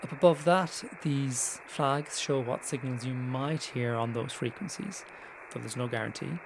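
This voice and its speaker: A man with a mid-pitched voice talks through a how-to tutorial in a casual, informal way. His delivery is conversational, with small hesitant asides. There is clear noise in the recording behind him. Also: a little slow. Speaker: tutorial presenter. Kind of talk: casual screen-share tutorial narration.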